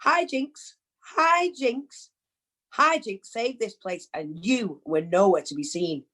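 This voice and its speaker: A sardonic manager, played by an actress, with a woman's medium-pitched voice. She is dry and pointed in an everyday conversational delivery. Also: takes it slowly, fairly bright tone. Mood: indignant. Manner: wry, pointed.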